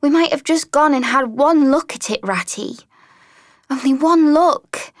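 A woman's voice: high voice, dry recording, clean studio sound, pitched above the performer's own voice. A woman voicing a small, timid, homesick female mole who sobs and stammers as she speaks. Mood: grieving. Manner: sobbing, halting, stammering. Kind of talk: storybook character voice.

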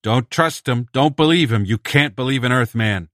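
A man voicing a huge broad-chested male mutant who speaks loudly, harshly and with contempt. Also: mid-range voice, moderate pace, no echo, clean studio sound, pitched above the performer's own voice, lightly acted. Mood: urgent.

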